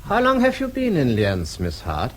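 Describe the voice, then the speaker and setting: An actor playing a coldly formal Austrian official in a radio drama, speaking deliberately as if interrogating. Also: male voice, medium pitch, wildly swooping pitch. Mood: coldly probing.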